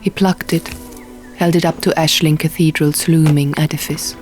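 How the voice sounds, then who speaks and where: A woman's voice: deep voice, steady tone, normal speed, a little mellow. A woman reading scripted first-person fantasy fiction as an audiobook narrator. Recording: music behind. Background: music.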